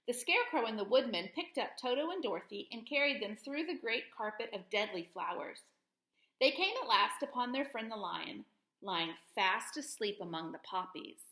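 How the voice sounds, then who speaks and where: A woman reads a children's story aloud with expressive, animated delivery. Her voice is fairly high and bright, and the room sounds echoey. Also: normal speed.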